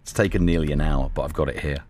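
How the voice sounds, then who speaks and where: A man's voice: a bass voice, steady tone, quick pace, darkish tone. A man hosting a morning radio show, joking around, often laughing, and reading messages aloud in a radio studio. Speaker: radio host. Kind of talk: casual morning radio banter.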